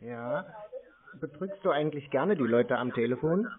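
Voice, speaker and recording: A man's voice: mid-range voice, animated. Man on a tinny phone line. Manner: plays a slow, naive caller, then turns blunt and mocking. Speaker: scam baiter. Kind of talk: recorded prank phone call.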